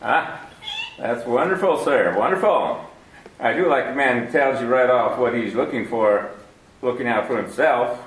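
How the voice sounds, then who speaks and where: An actor playing a genial, wordy middle-aged crook who talks formally and flatters, in 1940s radio style. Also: male voice, mid-range voice, moderate pace, close to an everyday voice. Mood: smooth, scheming. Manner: florid, flattering, overly formal with many sirs. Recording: roomy echo.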